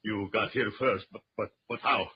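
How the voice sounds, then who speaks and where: An actor playing a menacing, scheming villain in an old-time radio adventure, with theatrical delivery. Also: male voice, lowish voice, fairly even intonation, moderate pace, slightly rough. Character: menacing, scheming male villain, a murderous engraver. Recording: muffled, noisy old radio recording.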